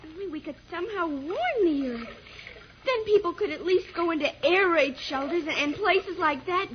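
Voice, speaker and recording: A woman's voice: very high-pitched, animated, normal speed. A woman playing an anxious, questioning young girl in an old-time radio space serial. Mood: worried, questioning. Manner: fretful, pleading, questioning. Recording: dull, hissy vintage radio recording.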